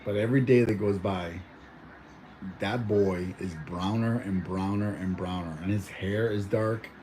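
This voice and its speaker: Man from mexico, deep voice, warm dark tone, rambling casual chat, faint background hum. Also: low-quality recording. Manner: rambling, relaxed, many fillers and restarts. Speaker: vlogger.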